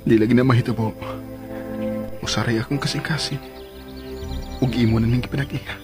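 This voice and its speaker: A man's voice: medium pitch, steady tone, a little fast, over music, lightly acted. An actor with a Cebuano accent playing an earnest, lovesick young man and devoted son in a radio drama.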